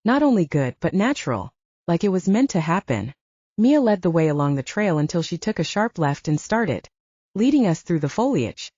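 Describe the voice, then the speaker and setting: A woman's voice: lowish voice, big pitch swings, normal speed, mellow, husky, no room sound, dull audio. A woman narrating scripted first-person fiction as an audiobook reader.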